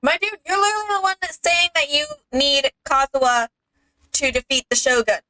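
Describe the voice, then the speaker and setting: Casual game commentator, female-sounding: crisp bright tone on the higher side, close dry sound. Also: fairly even intonation, a little fast, no room sound, rough recording. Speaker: gaming streamer.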